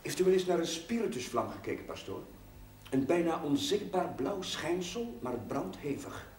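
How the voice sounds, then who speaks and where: An earnest young chaplain, respectful and a little poetic, in a fairly high voice. The television drama sound is echoey, with the microphone at some distance. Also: male voice, big pitch swings, normal speed, darkish tone, a little hiss or hum. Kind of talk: naturalistic television drama dialogue.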